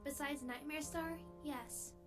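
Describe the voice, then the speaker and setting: A woman's voice: high voice, fairly even intonation, slow pace. A woman playing a composed, earnest and protective grown-up pony in a fantasy audio drama. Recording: distant playback under very loud music.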